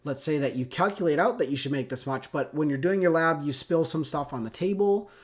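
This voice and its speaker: A man's voice: on the high side, brisk. A man with a congested cold, teaching a chemistry lesson in a casual, conversational way, often checking in with "right?" and "okay?". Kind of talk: recorded classroom lecture.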